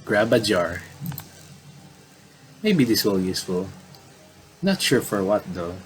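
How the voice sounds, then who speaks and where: A man's voice: fairly low pitch, sing-song, slight background noise, low-quality recording. A man giving casual, rambling commentary on a game playthrough, reading dialogue aloud and often stopping to correct himself. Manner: rambling, self-correcting, halting. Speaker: gaming video commentator.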